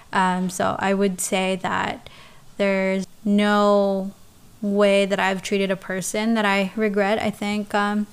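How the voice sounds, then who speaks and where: Slow, smooth-voiced woman from the Philippines. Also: mid-range voice. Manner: halting, with many restarts and soft laughs. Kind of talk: casual personal storytelling, answering questions.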